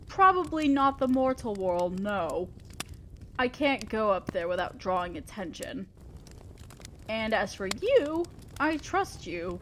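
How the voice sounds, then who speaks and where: A refined female demon lord, voiced by a woman, playful and theatrical in an intimate audio roleplay. Her delivery is leisurely and swoops widely as she indulgently teases her mortal servant. There is noticeable noise behind the voice. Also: on the high side. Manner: playful, genteel, theatrical, drawn-out musing. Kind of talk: scripted audio roleplay, addressing the listener.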